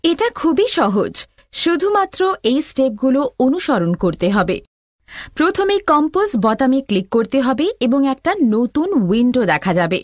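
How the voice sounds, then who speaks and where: A woman with a high voice and animated intonation narrates a scripted tutorial. She explains step by step as an instructor, in a dry recording free of room sound. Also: normal speed, no room sound.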